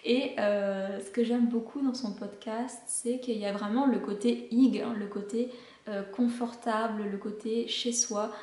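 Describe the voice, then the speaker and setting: A woman's voice: fairly high pitch, steady tone, measured pace, warm tone, echoey room. A woman hosting a knitting podcast, chatting casually about shows she recommends, with many hesitations. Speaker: knitting podcast host and pattern designer.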